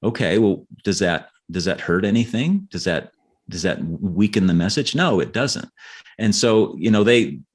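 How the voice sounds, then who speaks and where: Low-voiced man, earnest and explanatory. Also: expressive, normal speed, slightly rough. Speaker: author being interviewed. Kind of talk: conversational podcast interview.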